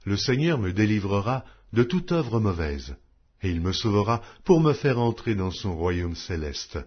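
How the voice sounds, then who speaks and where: A man reading scripture from a script as an audio Bible narrator, in a solemn, declamatory manner with measured pauses. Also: lowish voice, sing-song, slightly rough, highs cut off.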